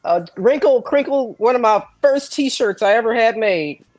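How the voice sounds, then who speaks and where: A woman's voice: on the high side, animated, a little slow, no room sound, soft background music. A woman, chatting casually and playfully as a guest. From Texas, United States. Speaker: guest, saxophonist. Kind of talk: casual chat. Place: indoors, virtual show video call.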